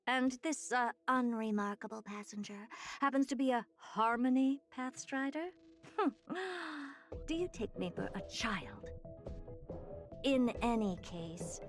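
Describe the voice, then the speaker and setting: A woman's voice: on the high side, animated, takes it slowly. A woman playing a haughty, impatient genius scientist in a video game, with an imperious, dry and dramatic manner. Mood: skeptical. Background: prominent music.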